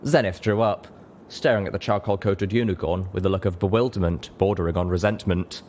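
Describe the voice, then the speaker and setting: A man's voice: low-pitched, brisk, darkish tone, slight background noise. A man reading a story aloud as scripted dramatic narration. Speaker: audiobook narrator.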